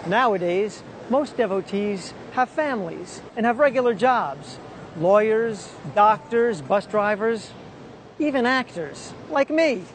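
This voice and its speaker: A man presenting and narrating a documentary in a steady, explanatory way, partly from an outdoor street festival. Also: sits high, takes it slowly, slight background noise.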